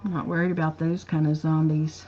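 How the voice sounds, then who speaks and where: A woman's voice: deep voice, little pitch movement, fairly quick, highs cut off, a little hiss or hum. A woman co-hosting a casual livestream. She is easygoing, laughs often and reads viewer comments aloud. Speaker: co-host of a live chat show.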